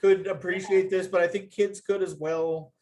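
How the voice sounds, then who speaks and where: Halting man in casual group discussion. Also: high-pitched, steady tone, darkish tone. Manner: halting, hedging, thinking aloud. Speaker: writer leading a feedback talk after a script read.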